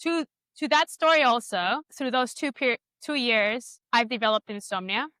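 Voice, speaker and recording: Bright, clear, high-voiced woman from Ecuador. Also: moderate pace, dry recording, clean studio sound. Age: 30s. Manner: informal, peppers talk with "like" and quoted inner thoughts. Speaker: interview guest, product designer. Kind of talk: casual conversational interview, personal storytelling.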